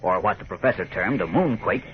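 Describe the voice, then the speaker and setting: A man's voice: deep voice, animated, brisk, background noise. A man with an American accent narrating a 1940s radio serial in a theatrical, suspenseful manner. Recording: muffled vintage radio recording.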